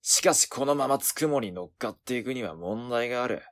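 An actor playing a gruff, hot-tempered young male werewolf in a voice drama, with rough, cocky slangy speech. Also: mid-range voice, expressive, a little fast, lightly acted. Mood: scheming. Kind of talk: Japanese voice drama acting.

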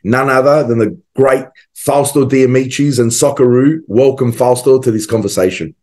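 Australian man, host, mid-pitched voice, hesitant with ums, webcam call. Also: moderate pace, darkish tone. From western suburbs of Melbourne, Australia. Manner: hesitant, with many ums and restarts. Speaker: podcast host. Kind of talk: casual interview over video call. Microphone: video call, webcam.

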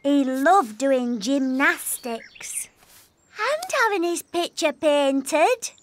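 A woman voicing an earnest, demanding little princess in a childlike, halting preschool cartoon voice. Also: high voice, animated, slight background noise, heavily acted. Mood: sad, wistful. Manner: slow, simple, halting child speech.

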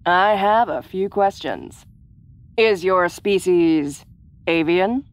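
A woman playing a predatory alien seeking a mate, businesslike, deliberate and deadpan, in an audio roleplay. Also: lowish voice. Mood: clinical, seductive.

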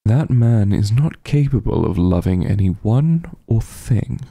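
A man voicing a possessive, teasing vampire mafia heir in an intimate ASMR roleplay, husky and gravelly, with a condescending drawl. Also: lowish voice, animated, slow, warm tone, no room sound, studio quality, heavily acted. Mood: possessive, commanding. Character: possessive, teasing male vampire mafia heir, coolly menacing yet doting.

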